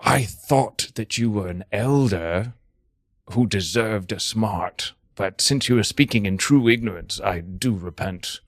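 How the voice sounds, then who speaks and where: A man playing a pompous, evasive poet lord who declaims in drawn-out phrases in an improvised comedy scene. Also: on the low side, dry recording, studio quality. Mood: haughty, evasive.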